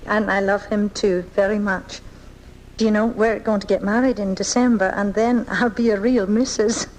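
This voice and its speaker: A woman's voice: mid-range voice, fairly even intonation, moderate pace, a little mellow, a little hiss or hum, natural voice, rough recording. A woman playing a kind, encouraging young schoolteacher in a 1980s live radio drama, recorded off the air at home. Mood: warm, tender.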